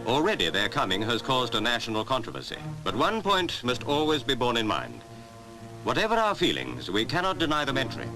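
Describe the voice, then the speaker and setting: A man's voice: medium pitch, wildly swooping pitch, fairly quick, rough recording. A man narrating a 1950s newsreel in a formal, declamatory way. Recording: archive newsreel with music behind.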